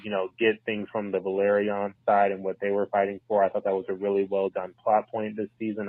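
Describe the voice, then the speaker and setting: Caller: man, low flat voice, earnest thanks, tinny phone voicemail. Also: dry recording. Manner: earnest, grateful, many fillers. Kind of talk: recorded voicemail message.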